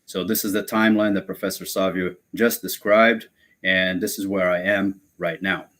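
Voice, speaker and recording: Deep-voiced Brazilian-born man lecturing, hesitant. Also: steady tone, moderate pace, a bit bright. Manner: hesitant, many fillers and restarts. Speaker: guest lecturer. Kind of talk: academic webinar lecture.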